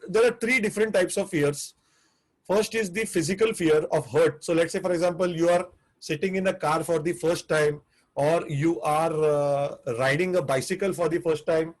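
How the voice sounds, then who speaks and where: A man's voice: on the high side, rough recording. A man with an Indian English accent, teaching a live online class on restaurant business, earnest and prone to repeating himself. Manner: earnest, repetitive, restarts phrases.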